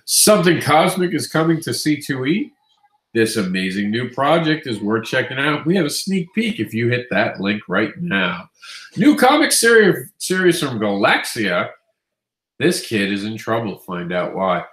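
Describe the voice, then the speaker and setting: A man's voice: medium pitch, sing-song, normal speed, a bit bright. Congested nasal man in an echoey room. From Queens, New York. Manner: chatty, upbeat, self-correcting. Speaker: comics news host. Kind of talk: casual news roundup.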